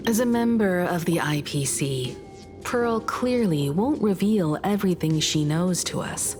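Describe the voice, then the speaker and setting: Lowish-voiced, poised scholarly woman. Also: expressive, music in the background. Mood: thoughtful, analytical. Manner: measured, articulate, reflective. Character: poised, thoughtful, gentle scholarly young woman. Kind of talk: video game story dialogue.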